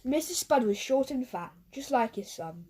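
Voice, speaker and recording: Higher-pitched woman reading a children's storybook. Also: normal speed, a little hiss or hum. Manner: expressive storytelling, occasional stumbles. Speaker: audiobook reader.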